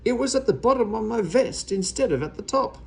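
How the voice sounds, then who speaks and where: A man's voice: high-pitched, animated, brisk, vocal fry, voice pushed up. A man voicing a muddled, anxious, childish nephew from a children's story, flustered and protesting. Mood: delighted.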